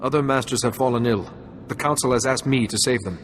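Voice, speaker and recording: A man playing a dutiful, selfless young Jedi hero in a video game, calm, serious and formal. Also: medium pitch, fairly quick, a little hiss or hum, close to an everyday voice. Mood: resolute.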